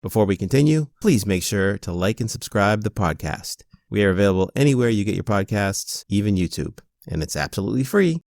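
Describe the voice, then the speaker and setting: A man's voice: fairly low pitch, expressive, brisk, gravelly, hardly any pauses, darkish tone, no echo, clean studio sound. A man hosting a podcast interview, asking questions in an upbeat, enthusiastic way. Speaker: podcast host.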